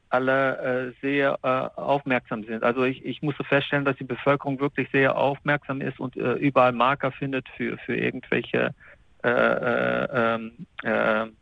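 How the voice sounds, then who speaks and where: A man from the Netherlands, speaking German haltingly with many fillers and restarts in a remote interview. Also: medium pitch, level tone, gravelly, dry recording, highs cut off. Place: apartment.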